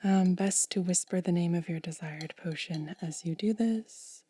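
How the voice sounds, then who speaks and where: A woman speaking in a soft, breathy whisper as an ASMR host, gentle and unhurried, close to the microphone. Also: lowish voice, no echo. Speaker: ASMR video creator and host.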